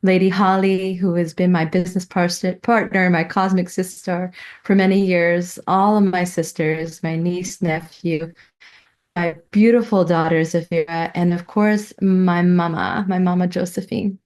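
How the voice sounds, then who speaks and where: Middle aged american woman presenting her thesis, kinda low voice, reverent storytelling. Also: steady tone, a little slow, darkish tone. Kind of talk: prepared personal presentation.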